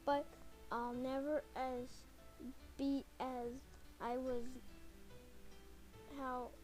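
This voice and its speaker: A woman voicing a small, blind male mouse in a storybook, reading slowly with halting restarts. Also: sits high, level tone, a little mellow, soft background music. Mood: sad.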